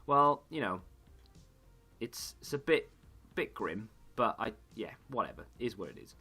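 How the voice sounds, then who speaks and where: A man, slightly hoarse, rambling through casual gaming commentary and correcting himself as he goes. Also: on the low side, sing-song, moderate pace, bright, forceful tone, faint music behind. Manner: rambling, self-correcting chatter. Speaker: gaming YouTuber.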